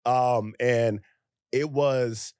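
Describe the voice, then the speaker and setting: A young American man co-hosting a podcast in casual chat, talking in an animated, emphatic way with rhetorical questions. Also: mid-range voice, drawn-out words, clear, resonant tone, dry recording, clean studio sound. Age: young adult. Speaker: podcast co-host.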